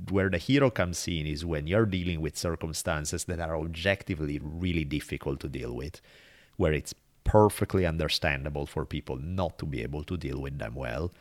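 A man with a low, deep voice and an Italian accent. He speaks in a casual, reflective podcast style. His manner is earnest and emphatic, and he swears freely. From Italy.

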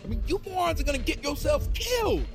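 An actor playing a blunt, sardonic strip club DJ in a comedic audio drama, exasperated and theatrical. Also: male voice, very high-pitched, sing-song, quick pace, music in the background, heavily acted.

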